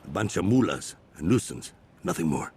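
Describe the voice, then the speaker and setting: A testy cartel boss in a game cutscene. His voice is gravelly and highly expressive, with a dismissive edge, over faint ambience. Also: normal speed, slight background noise, exaggerated voice. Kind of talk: video game cutscene acting.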